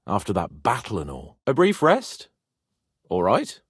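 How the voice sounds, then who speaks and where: A cocky young medieval nobleman for a video game. His delivery is theatrical and haughty, swinging very widely in pitch with a hot-tempered swagger. The sound is close and dry. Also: huge pitch swings, a little slow, husky, no room sound, heavily acted. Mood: low, subdued. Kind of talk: dramatic medieval game dialogue.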